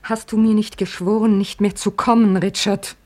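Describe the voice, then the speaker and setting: A woman acts a weary young woman in an old radio play, her voice of middling pitch. She is proud and guarded, answering in short, firm phrases that shut the other person out. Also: flat delivery, moderate pace, darkish tone, lightly acted. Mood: defensive, proud. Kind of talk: 1950s German radio play acting.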